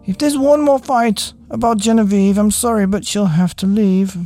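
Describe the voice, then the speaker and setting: Woman as anxious kindly schoolmistress, raised lilting pitch, gentle yet firm, storybook reading, soft music underneath. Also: fairly even intonation, normal speed, dry recording, natural voice. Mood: stern. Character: kindly, anxious schoolmistress Miss Clavell, firm with little girls. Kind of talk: children's storybook character voice.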